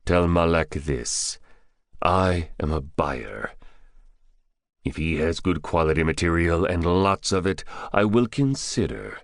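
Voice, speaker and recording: Man playing a deep-voiced, menacing armed drug buyer. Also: expressive, creaky, no room sound, clean studio sound, heavily acted. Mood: firm, controlled. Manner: curt, threatening, deliberate. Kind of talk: audiobook character dialogue.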